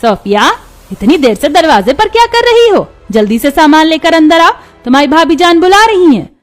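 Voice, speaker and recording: Woman acting a strict mother, high swooping voice. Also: sing-song, normal speed, faint music behind. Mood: stern, scolding. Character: strict disapproving mother calling her daughter indoors. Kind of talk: cartoon voice acting.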